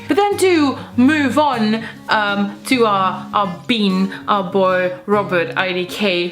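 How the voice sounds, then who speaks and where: Woman from Belgium, 30s, mid-pitched, rambling vlog chat with fillers and laughs, teary, faint guitar behind. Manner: chatty, rambling, laughs often, many fillers. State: close to tears. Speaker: vlogger. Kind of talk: casual vlog recap.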